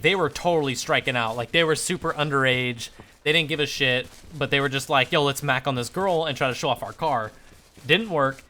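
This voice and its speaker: A young man telling a casual story while gaming on a livestream, animated and slangy, swearing freely. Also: a little high, a little hiss or hum. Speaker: live streamer.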